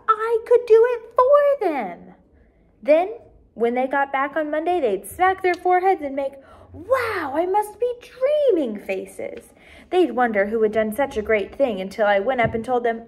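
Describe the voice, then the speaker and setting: A young woman with a fairly high voice reads a storybook aloud as a teacher would for small children. Her narration is expressive and carefully enunciated, with emphatic phrasing. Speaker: first grade teacher reading aloud.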